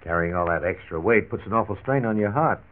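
An actor playing a frank, reassuring family doctor who explains firmly, in 1940s radio style. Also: male voice, lowish voice, fast talker, lightly acted. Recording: tinny 1940s radio transcription disc.

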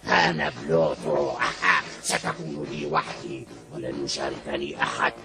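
A man voicing a big, greedy, bullying cartoon villain in an Arabic dub, gruff and boastful. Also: a bass voice, normal speed. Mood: gloating. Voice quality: gruff, gravelly. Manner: boastful, gloating. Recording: loud music behind, old cartoon soundtrack.

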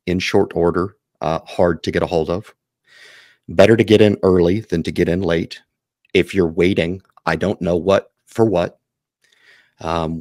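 A man hosting an investing panel over a video call, closing with measured, earnest remarks on a headset mic. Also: low-pitched, no echo, clean studio sound. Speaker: host. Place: home office, video call.

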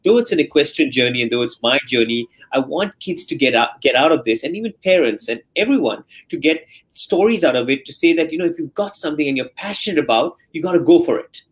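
A man's voice: a little high, expressive, fast talker, hardly any pauses, husky, poor audio. A man in his forties from India, a guest answering interview questions on a video call in an earnest storytelling way with many "you know" fillers. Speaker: guest, Olympic rider and author. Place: bedroom at home. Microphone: laptop mic on a video call.

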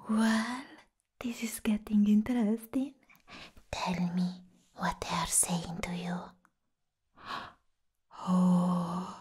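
Young woman acting mad playful villainess, hushed breathy whisper, slow drawn-out phrasing, teasing menace, ASMR roleplay. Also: mid-range voice, full character voice. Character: mad, playful female comic-book villain, giddy and menacing.

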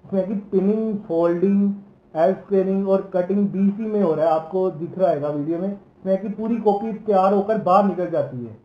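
A man's voice: high-pitched, normal speed, roomy sound, dull audio. A man in his thirties from Punjab, India, explaining machines from his office desk in a casual, hesitant way, with many fillers and restarts. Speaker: machinery company salesman. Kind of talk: product explainer video.

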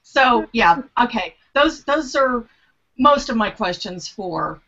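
A woman's voice: on the high side, animated, takes it slowly, sharp tone. A middle-aged American woman chatting casually as a panelist on a web video call from her home study. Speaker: panelist on a genealogy web show. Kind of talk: casual chat. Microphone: webcam video call. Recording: dull, compressed internet call audio.

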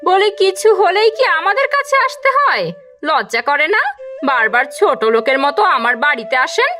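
A woman voicing an emotional village wife in a cartoon, expressive and dramatic. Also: very high voice, normal speed, faint music behind, exaggerated voice. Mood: scornful. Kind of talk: Bengali cartoon folk-tale acting.

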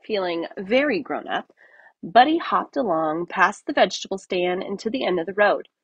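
A woman narrates a children's story with lively, swinging intonation. Her voice is slightly breathy and husky, recorded dry and close. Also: medium pitch, moderate pace, dry recording, lo-fi sound. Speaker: storybook reader. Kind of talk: expressive children's read-aloud narration.

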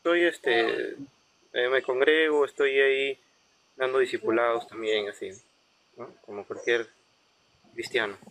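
A man's voice: mid-range voice, fairly even intonation, unhurried, long pauses, fairly bright tone, dry recording, poor audio. A man from Peru, calling in to a religious debate, hesitant with many fillers. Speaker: caller. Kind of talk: call-in debate.

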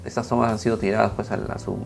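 Slightly hoarse man, low voice. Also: fast talker, soft background music. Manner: relaxed, many fillers and restarts. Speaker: video creator reviewing camera footage. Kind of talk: casual talk to camera, then screen walkthrough. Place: small home studio, indoors. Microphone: lapel mic.

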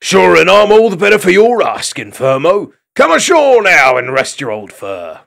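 A man's voice: high voice, wildly swooping pitch, normal speed, gravelly, fairly bright tone, voice pushed up, heavily acted. Man playing a hearty fat old hedgehog chief. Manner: hearty, lilting folksy talk.

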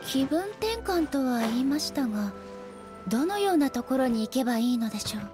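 An aloof, composed goddess in an anime game. Her woman's voice sits high and stays polite and formal, every phrase measured and proud. Gentle game music plays behind her. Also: big pitch swings. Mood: calm, curious.

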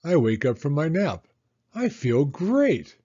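An older man reads a picture book aloud for young children, his voice gentle and lively, rising and falling with the story. He takes it slowly, with a mellow, slightly husky tone. The recording is a little dull and lo-fi. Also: on the high side. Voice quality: gentle, expressive storyteller reading in a slow, sing-song way. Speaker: storytime host, retired-age teacher reading aloud. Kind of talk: children's read-aloud narration.

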